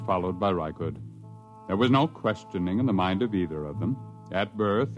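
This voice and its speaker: A man narrating a science-fiction story in measured, scripted 1950s radio style. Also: fairly low pitch.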